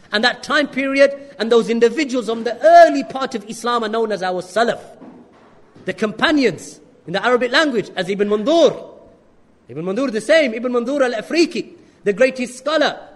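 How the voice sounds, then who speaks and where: A man preaching an earnest sermon with rhetorical questions and quick Arabic recitation. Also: extremely high pitch, in a large hall. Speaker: Islamic preacher.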